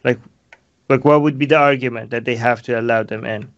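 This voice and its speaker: Probing man with a smooth voice. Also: mid-range voice, fairly even intonation, brisk, muffled recording. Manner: probing, questioning, many fillers. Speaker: interviewer. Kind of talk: video call interview. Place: small room, plain wall.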